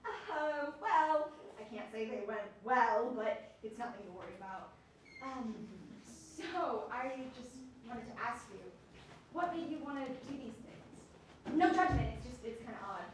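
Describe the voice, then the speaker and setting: Awkward young romantic female actor, hesitant, animated delivery, amateur theatre, recorded far off in a boomy hall. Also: a little slow. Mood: awkward, tentative. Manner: hesitant, stammering. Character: Andy, awkward, hopeful young dater, playfully flustered. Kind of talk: amateur stage play. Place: live stage in an echoing room. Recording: echoey hall from far off, noisy.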